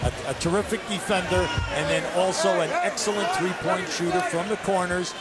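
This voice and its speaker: A man's voice: high voice, fairly even intonation, a little fast, over a noisy crowd. A man giving analytical color commentary on a basketball broadcast, explaining plays with emphatic bursts. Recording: broadcast commentary over loud arena noise.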